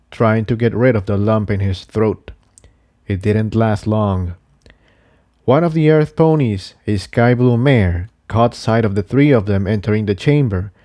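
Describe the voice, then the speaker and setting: A man's voice: on the low side, dark tone. A man narrating an adventure story from a script. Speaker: narrator reading fan fiction.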